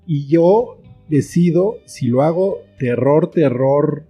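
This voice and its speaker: A man's voice: fairly high pitch, expressive, takes it slowly, warm tone, husky, faint music behind. A man talking as an interview guest, explaining his thoughts reflectively in short phrases with examples. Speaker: guest, children's book author and screenwriter.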